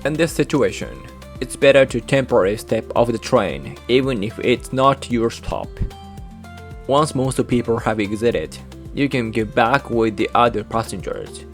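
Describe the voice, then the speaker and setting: A man with a Japanese accent, a native Japanese speaker, hosting a channel and narrating a scripted guide in even, careful short phrases. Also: medium pitch, normal speed, soft background music. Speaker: channel host.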